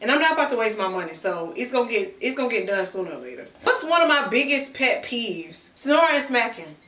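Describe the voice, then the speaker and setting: A woman in her mid-thirties chats easily through a Q&A, her voice bright and forward. She sits back from the mic in a small room that rings with echo, dropping y'all and girl as she talks. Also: on the high side, big pitch swings, fairly quick, far from the mic. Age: 30s. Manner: chatty, answers read-out questions, y'all and girl slang. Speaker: content creator. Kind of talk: casual Q&A chat to camera.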